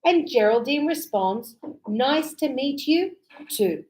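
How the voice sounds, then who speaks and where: A woman teaches English with a high, bright-sounding voice. She talks at an unhurried pace and spells each point out clearly. She acts out short example dialogues for her learners. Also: animated, poor audio. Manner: slow, clear explaining, acting out sample dialogues.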